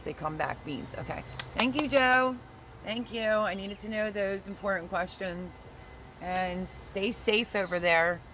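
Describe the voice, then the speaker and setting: A casual gardening host on a livestream, reading viewer comments aloud and joking with them. The voice sits at a middling pitch. A steady hiss and passing traffic run under the talk. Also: low-quality recording. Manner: chatty and teasing, reads viewer comments aloud.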